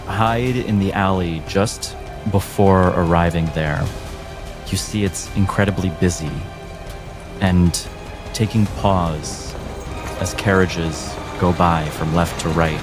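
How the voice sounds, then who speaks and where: Male game master, very low voice, measured dramatic scene-setting over music. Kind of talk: narrating a tabletop role-playing game.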